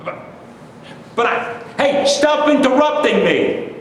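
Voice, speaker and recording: A man's voice: extremely high pitch, big pitch swings, slow pace, fairly bright tone, exaggerated voice. A man acting a bitter, aggrieved middle-aged character in a staged play reading, stammering and argumentative. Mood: angry, hurt. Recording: echoey hall, distant mic, faint noise.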